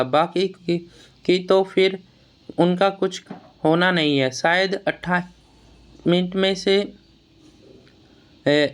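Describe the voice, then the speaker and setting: A man's voice: sits high, clean tone. A man giving an informal, explanatory update to students in a plain-spoken, admonishing way, often repeating words and phrases. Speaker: exam news vlogger advising job aspirants.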